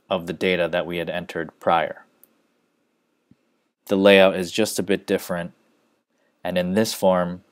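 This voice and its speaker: Deep voiced guy walking through software step by step, measured, really dry close sound. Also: no room sound. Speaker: software trainer. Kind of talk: instructional screencast narration.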